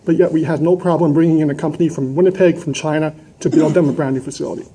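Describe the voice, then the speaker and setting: A man speaks at a public meeting in a fairly high but soft-edged, dark-toned voice. He is indignant and combative, pressing his points with emphatic rhetorical questions, close on a gooseneck mic. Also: steady tone, fast talker, slightly rough. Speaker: citizen delegate at a council meeting. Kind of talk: impassioned public address. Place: large indoor council chamber. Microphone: gooseneck microphone close to mouth.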